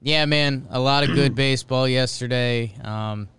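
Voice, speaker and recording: A man's voice: mid-range voice, unhurried, a bit bright, clear, resonant tone, no room sound. A man co-hosting a casual sports podcast from a studio, easygoing and emphatic with slang. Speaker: podcast co-host.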